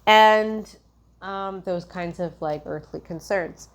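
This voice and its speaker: A woman's voice: mid-range voice, slow. A woman giving a tarot reading in a loose, meandering way, full of fillers and restarts. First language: English. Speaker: tarot reader. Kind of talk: casual spiritual tarot reading.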